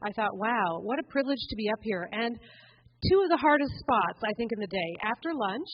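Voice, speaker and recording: A woman with a somewhat high voice speaks into a handheld mic at a conference and draws the crowd in with a steady "right?". The sound is slightly dull and lo-fi. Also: brisk. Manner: chatty, upbeat, coaxing the crowd with "right?". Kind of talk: interactive talk to a seated audience. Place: conference hall.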